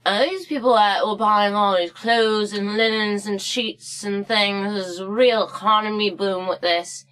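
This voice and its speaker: A woman playing a crotchety, boozy old woman who tells comic history stories in a theatrical, rambling, halting way. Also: mid-range voice, fairly even intonation, fairly bright tone, clear, resonant tone, lightly acted, rough recording. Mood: wry lecturing. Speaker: story-time host. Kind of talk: comic storybook narration and history lesson.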